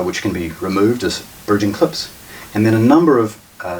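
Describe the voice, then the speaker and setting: A man giving an informal, measured product demonstration as a shop presenter, explaining with appreciation. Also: low voice. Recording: distant mic with faint hiss.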